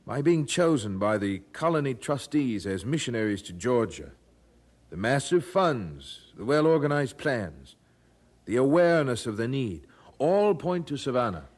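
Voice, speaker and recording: An actor playing a devout, firm colonial preacher in an audio drama, speaking earnestly in formal, articulate phrases. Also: male voice, mid-range voice, big pitch swings. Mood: resolute. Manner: formal, measured wording, earnest.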